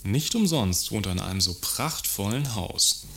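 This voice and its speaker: A man's voice: fairly low pitch, animated, moderate pace, gravelly, a bit bright, slight background noise. A man acting a fawning, earnest admirer of a rich industrialist in a satirical radio play, formal and eager to agree. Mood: admiring.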